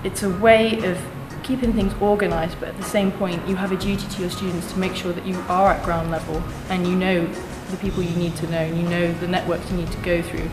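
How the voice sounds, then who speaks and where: A young woman making an earnest, confident campaign pitch as a student election candidate, restating her points in lists. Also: level tone, fairly quick, few pauses. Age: young adult. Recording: echoey room with music behind.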